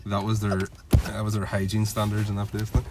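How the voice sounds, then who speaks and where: A man's voice: on the low side, completely flat delivery, a little fast, noisy background. A man co-hosting a casual podcast, rambling and sweary with frequent restarts. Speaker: podcast co-host.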